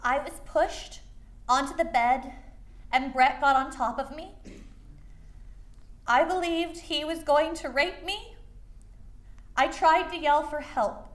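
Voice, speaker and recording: An earnest, vulnerable woman witness played by an actress gives solemn testimony on stage. She speaks very slowly in careful, halting phrases, with a high voice. The room echoes. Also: fairly bright tone. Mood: pained, solemn.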